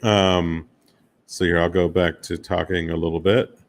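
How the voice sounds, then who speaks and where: A deep-voiced American man presents his own work to an audience online or at a conference. He talks informally and loosely, as if in conversation, with a low pitch throughout. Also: little pitch movement, unhurried. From Miami, United States. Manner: conversational, casual, loosely phrased. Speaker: guest speaker, commercial photographer and director.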